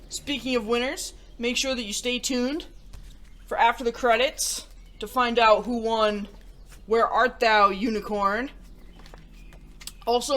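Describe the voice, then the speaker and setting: A woman's voice: mid-range voice, a bit bright. A woman hosting a children's story-time show, reading picture books and reviewing them in an enthusiastic, theatrical presenter's manner. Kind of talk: picture book read-aloud and upbeat review.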